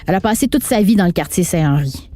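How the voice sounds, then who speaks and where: A woman reading scripted documentary narration in a measured tone. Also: fairly low pitch, lively intonation, dark tone, faint music behind. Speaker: podcast narrator.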